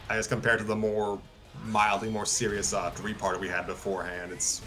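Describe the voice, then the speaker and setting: A man's voice: lowish voice, monotone, brisk, pushed and bright. A man casually hosting a livestream, reading a story aloud and chatting in a hesitant, hedging way. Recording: background music clearly audible. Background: music.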